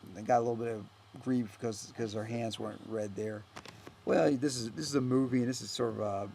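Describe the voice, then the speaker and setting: A man with a gravelly, creaky voice talks through a film as its director. His delivery is casual and unscripted, halting and rambling, often trailing off. There is noticeable noise in the recording. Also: medium pitch, steady tone, warm tone. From United States. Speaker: filmmaker and actor giving director's commentary.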